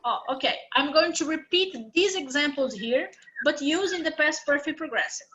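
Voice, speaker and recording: A woman's voice: sits high, animated, moderate pace, fairly bright tone, lo-fi sound. A woman teaching an English grammar class online, explaining patiently step by step.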